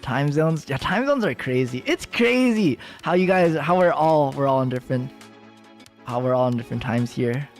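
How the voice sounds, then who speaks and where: A man's voice: medium pitch, lively intonation, normal speed, soft background music. A young man with an American accent chatting with viewers on a livestream, rambling and giggly, full of fillers and restarts. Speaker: VTuber streamer. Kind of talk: casual livestream chat with viewers.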